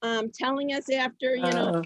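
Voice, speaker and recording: A woman hosting an online event over a video call, chatting informally and haltingly, often repeating her phrases. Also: high voice. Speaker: host of an online reader's theater event.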